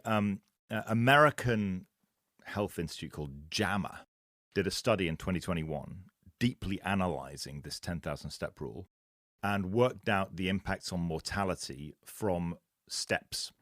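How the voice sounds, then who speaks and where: A man's voice: low-pitched, a little slow, fairly bright tone, dry recording, studio quality. A man co-hosting a casual fitness podcast, explaining points at length and laughing at his own asides. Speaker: podcast co-host.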